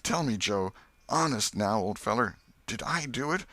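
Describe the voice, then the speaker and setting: A man's voice: mid-range voice, animated, moderate pace, bright, forceful tone, no echo, voice pushed up. A man voicing a frightened, pitiful male drunkard in an audiobook, begging and wailing in rustic speech. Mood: panicked, dazed.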